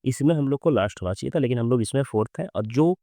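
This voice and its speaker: A young man from Bihar, teaching exam quiz questions in with a sore, hoarse throat, reading English questions slowly and explaining with many fillers. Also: mid-range voice, lively intonation, mellow, no room sound, clean studio sound.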